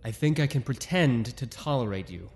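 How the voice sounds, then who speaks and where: A man's voice: mid-range voice, lively intonation, normal speed, darkish tone, faint music behind, close to an everyday voice. A man as a bitter, sarcastic young soldier. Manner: defensive, sarcastic.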